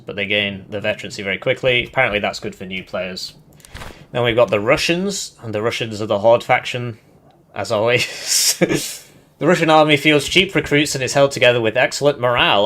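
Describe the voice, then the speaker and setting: A man's voice: fairly low pitch, fairly bright tone. A man with a nasal voice, commenting on his game live, reading in-game text aloud with casual asides. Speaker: streamer.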